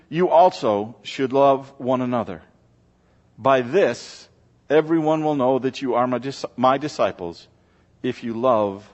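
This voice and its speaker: A man speaking as a pastor, reading scripture to a congregation in a measured, solemn church delivery. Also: medium pitch. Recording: muffled, reverberant large-room recording.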